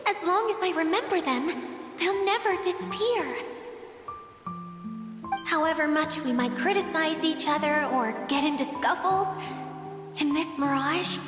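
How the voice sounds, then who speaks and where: A woman voicing a shy, excitable water spirit maiden with a sweet, bashful, theatrical manner in a video game. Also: sits high, measured pace, pauses often. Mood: gently earnest. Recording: very echoey, long reverb, music behind. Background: music.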